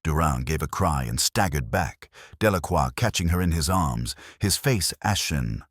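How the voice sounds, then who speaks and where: Male audiobook narrator, extremely low and husky, solemn and dramatic, with lively intonation and a dry, close sound. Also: dry recording. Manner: solemn, theatrical, deliberate phrasing. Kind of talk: dramatic scripted narration of a period mystery.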